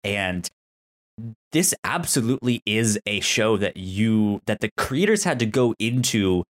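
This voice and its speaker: A man co-hosting a podcast, chatting casually and enthusiastically about television in a halting way, with stuttered sounds and syllables. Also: fairly low pitch, expressive. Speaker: podcast co-host. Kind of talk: casual TV show discussion.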